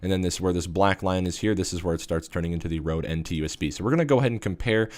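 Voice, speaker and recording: A man with a deep voice casually explaining a gear review, with lots of fillers, into a USB studio microphone. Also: racing, darkish tone, no room sound. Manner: rambling, filler-heavy explanations. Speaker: tech reviewer.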